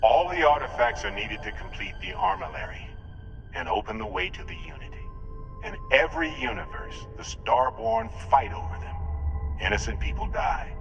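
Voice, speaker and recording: An actor playing an earnest, protective otherworldly emissary in a video game, speaking gravely and sincerely. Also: male voice, a little high, bright, forceful tone, exaggerated voice. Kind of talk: dramatic video game acting.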